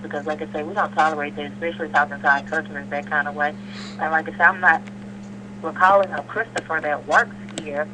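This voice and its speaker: Woman, firm, plain-spoken, repetitive, customer service call, noisy telephone line with hum. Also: low-pitched. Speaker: store employee taking a customer complaint.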